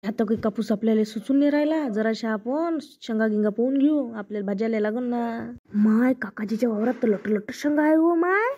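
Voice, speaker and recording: A woman plays an easygoing village cotton picker who grumbles in a cartoon comedy. Her voice is high, in rustic, plaintive Varhadi Marathi. The sound is a little dull. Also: brisk, dark tone, natural voice. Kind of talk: comic cartoon dialogue.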